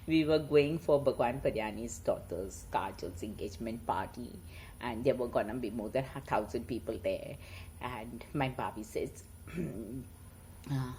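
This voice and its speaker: Indian-accented woman storyteller with lively, swinging pitch. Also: slight background noise. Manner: chuckling, animated, informal asides. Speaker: storyteller and host. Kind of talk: casual chat mixed with read-aloud moral tales.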